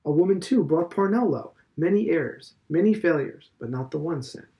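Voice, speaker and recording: A man voicing a stern, preachy elderly headmaster who lectures with slow, heavy emphasis. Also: on the high side, animated, creaky, dark tone, voice pushed up. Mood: lecturing. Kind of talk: audiobook character reading.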